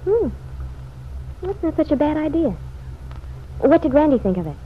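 An actress playing a playful, eager young American wife in an old-time radio serial. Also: female voice, high-pitched, big pitch swings, normal speed. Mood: bright, teasing. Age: young adult. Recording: muffled, noisy vintage radio recording.

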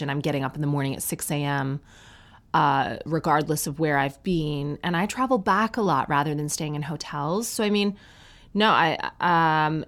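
A woman in her thirties from Canada, a touring comedian chatting as a guest with dry, self-mocking humor. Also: low-pitched. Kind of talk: casual chat.

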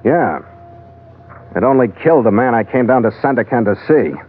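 An actor in an old radio drama playing a terse, world-weary ship's captain who also narrates. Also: male voice, medium pitch, lively intonation, normal speed, natural voice. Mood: laconic, skeptical. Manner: laconic, dry, world-weary. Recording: tinny old radio broadcast recording.

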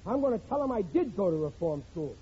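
A man's voice: high-pitched, big pitch swings, quick pace, mellow, slightly rough, exaggerated voice. An actor playing a hapless, self-pitying middle-aged working-class father in a 1940s radio comedy, grumbling plaintively in ungrammatical speech. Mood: scheming, defiant. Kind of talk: 1940s radio sitcom acting. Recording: muffled vintage radio recording, faint noise.

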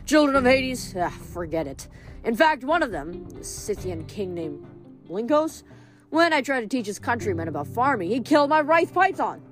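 A woman voicing a cheerful, boastful male farming god who chats in a bouncy, lively way. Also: on the high side, normal speed, soft background music, voice pushed up. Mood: chatty.

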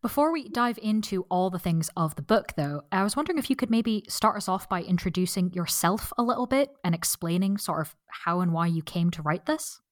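A woman's voice: lowish voice, animated, fairly quick, hardly any pauses, dry recording, studio quality. A woman hosting a book podcast, introducing her guest in an enthusiastic, friendly way with occasional ums. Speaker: podcast host.

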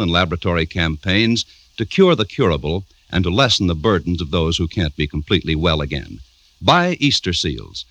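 A man's voice: deep voice, fairly bright tone, dry recording. A man announcing from a script in 1950s radio style, formal, emphatic and polished.

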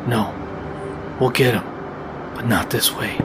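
A man playing a grim, controlled masked vigilante detective in an audio drama, terse and hushed. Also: mid-range voice, slow pace, noisy background, close to an everyday voice. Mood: grim, urgent. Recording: loud music under the voice.

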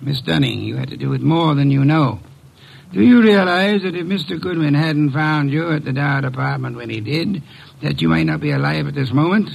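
Pompous older gourmet detective, gravelly n husky, very formal, vintage radio mystery. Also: male voice, on the high side, exaggerated voice, poor audio. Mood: stern, accusing. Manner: pompous, formal, deliberate, precise diction. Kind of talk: 1950s radio detective drama acting.

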